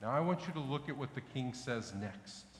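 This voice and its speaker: A man's voice: medium pitch, expressive, moderate pace, slight background noise. A middle-aged man, preaching a sermon in a conversational way to his congregation in a large church sanctuary, asking them questions as he walks about. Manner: conversational preaching, asks the congregation questions, gestures with raised hands. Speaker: pastor. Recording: echoey church hall, faint ambience.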